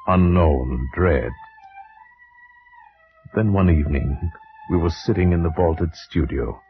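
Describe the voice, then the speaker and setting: A grave, dread-haunted gentleman, with a very low voice and formal, measured delivery. He sounds ominous and literary, like a gothic storyteller. The old radio recording is dull, with music clearly behind. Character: grave, dread-haunted gentleman narrator, friend of a doomed family. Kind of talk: 1940s-50s radio gothic horror narration and acting.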